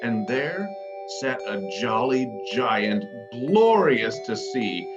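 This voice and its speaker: A man's voice: mid-range voice, very animated, slow, highs cut off, music in the background. A man narrating a scripted story in a dramatic storytelling style.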